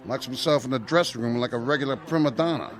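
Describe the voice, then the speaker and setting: An actor playing a cynical, grumbling nightclub boss in a radio play. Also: male voice, medium pitch, fast, soft background music, lightly acted.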